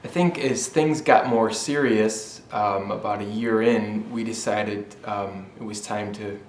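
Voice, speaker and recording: A man from Michigan sharing his story in a church testimony video, easygoing and conversational, pausing to find his words. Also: fairly low pitch, steady tone, slight background noise. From Michigan, USA. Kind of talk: personal testimony, interview-style. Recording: echoey room recording.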